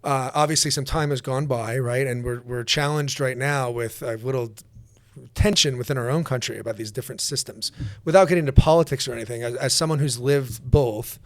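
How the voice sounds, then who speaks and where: Fast-talking male podcast host. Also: mid-range voice, steady tone, dry recording. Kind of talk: interview hosting.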